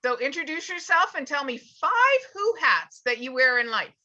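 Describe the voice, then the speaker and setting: A woman's voice: high-pitched, lively intonation, moderate pace, bright, forceful tone, lo-fi sound. A woman hosting a live online business interview show, upbeat and asking her guest direct questions over a video call.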